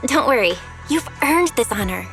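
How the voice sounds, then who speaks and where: A high, gentle young companion. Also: female voice, lively intonation, normal speed, soft background music. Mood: reassuring. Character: gentle, encouraging young woman, supportive friend. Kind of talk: anime-style game dialogue.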